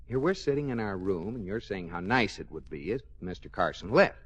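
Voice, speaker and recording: An actor playing a mild, sardonic, exasperated husband in a 1940s radio comedy. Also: male voice, on the low side, big pitch swings, a little fast, husky, muffled recording. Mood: earnest. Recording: faintly noisy old radio recording.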